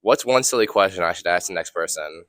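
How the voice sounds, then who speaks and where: A teenage boy doing street interviews, casual and cheerful. His voice is low-pitched but bright and forward. It is recorded close, with a dry sound. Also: expressive, fast, no room sound. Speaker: student reporter.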